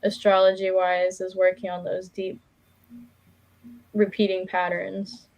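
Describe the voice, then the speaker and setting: A woman's voice: mid-range voice, monotone, unhurried, darkish tone, rough recording. A woman doing a casual live tarot reading as a guest, chatty and informal, feeling her way with plenty of "like". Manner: informal, chatty, hesitant phrasing with frequent "like".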